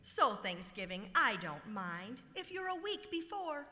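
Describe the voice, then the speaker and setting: A woman playing a radio comedienne who recites comic verse in a deliberate, sing-song way. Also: on the high side, very animated, pushed and bright, full character voice. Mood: mock-grand. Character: comedienne reciting a silly holiday poem. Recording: echoey hall live stage recording.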